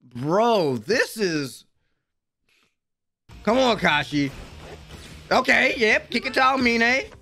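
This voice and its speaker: A young man doing excited live reaction commentary, slangy and exclamatory, repeating words, on a close mic in a small room at home. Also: extremely high pitch, takes it slowly, creaky, long pauses. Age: young adult. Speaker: anime reaction host. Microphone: close desk microphone with headset on.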